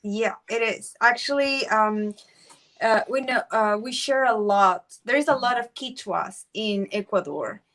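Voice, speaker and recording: A woman from Peru, speaking English as a guest in a casual podcast conversation and pausing often with fillers. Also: fairly high pitch, fairly bright tone. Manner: hesitant, many fillers.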